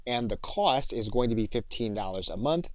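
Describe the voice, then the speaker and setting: A man giving instructional tutorial narration as a course instructor, in a measured, explanatory way. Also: medium pitch, expressive, dry recording, clean studio sound.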